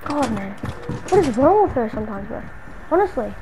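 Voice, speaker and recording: A woman's voice: fairly high pitch, very animated, moderate pace, background noise. A woman playing an exasperated, slangy city dweller hounded by a stalker friend in an improvised video game roleplay. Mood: casual muttering. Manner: slangy, muttering to self, then scolding.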